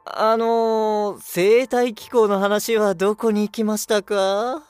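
A woman playing a polite young attendant who gently needles with deadpan remarks in an audio drama. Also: medium pitch, slow pace, clear, resonant tone, no room sound, faint music behind, lightly acted. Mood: dryly pointed. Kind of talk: Japanese audio drama acting.

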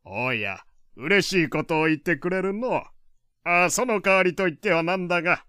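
An actor playing an opinionated, kindly old gentleman in an audio drama, lecturing in old-fashioned elderly phrasing. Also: sits high, lively intonation, moderate pace, clean tone, no room sound, clean studio sound. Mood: pleased, explaining. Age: older. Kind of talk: Japanese audio drama acting.

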